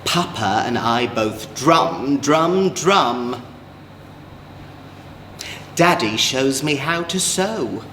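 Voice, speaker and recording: A man's voice: medium pitch, lively intonation, drawn-out words, long pauses, a bit bright. A man reading children's stories as a drag queen, theatrical and playful, with campy asides to young listeners. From England. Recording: roomy echo, faint background noise.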